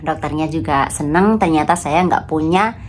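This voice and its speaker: A woman's voice: deep voice, moderate pace, slight background noise. A woman in her thirties from Indonesia with a stuffy, congested nose from a cold, chatting casually to her viewers in a home vlog. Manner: chatty, addresses viewers as friends. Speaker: vlogger.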